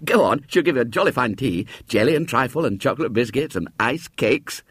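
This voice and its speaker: A man voicing an eager schoolboy who coaxes and pleads, in a husky voice. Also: mid-range voice, animated, a little fast, dry recording, studio quality, voice pushed up. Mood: pleading.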